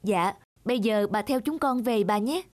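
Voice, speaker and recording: A woman's voice: mid-range voice, moderate pace, natural voice. A woman voicing a kind, dutiful young male police officer in a cartoon, polite and reassuring. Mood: earnest, respectful. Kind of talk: cartoon dub acting.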